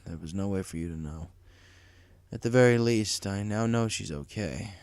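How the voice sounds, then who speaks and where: A man's voice: a little mellow, no room sound. A man voicing a weary, subdued older male pony boss and father who mutters, in a storybook reading. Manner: low, muttering, slow.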